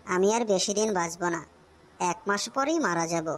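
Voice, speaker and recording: A woman's voice: on the low side, expressive, a little fast. A woman voicing an earnest, hapless married man in a comic cartoon skit. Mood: solemn.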